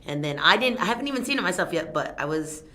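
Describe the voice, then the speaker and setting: Low-voiced woman telling stories with laughs. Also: quick pace. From Thailand. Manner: laughing, animated storytelling. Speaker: fight event announcer. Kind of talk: casual interview chat.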